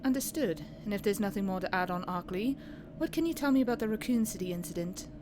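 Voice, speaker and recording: A woman's voice: medium pitch, animated, natural voice. Woman acting formal female officer, noisy recording. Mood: businesslike, probing. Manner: official, measured interrogation. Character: formal, businesslike female review board officer. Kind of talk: scripted audio roleplay interview.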